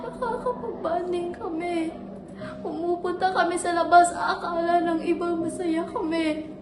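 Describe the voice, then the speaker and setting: Brief: young woman as a lonely, bitter daughter, very high voice, slow, in an echoey room with music. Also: steady tone. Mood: wistful. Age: young adult. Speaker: student actor. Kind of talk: stage monologue.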